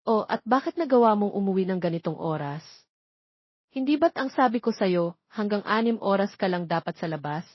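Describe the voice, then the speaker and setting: A woman's voice: medium pitch, animated, moderate pace, no room sound, close to an everyday voice. Woman narrator doing a strict worried mom in a love story, stern questions, bit lo-fi. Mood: angry, scolding. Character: strict, worried mother scolding her grown daughter.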